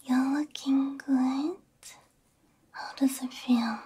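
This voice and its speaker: A woman whispering gently and breathily in an ASMR roleplay as a caring, soothing technician, close to a binaural microphone. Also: sits high, steady tone, takes it slowly, background noise. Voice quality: breathy, mostly unvoiced whisper. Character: caring technician repairing a robot's ears.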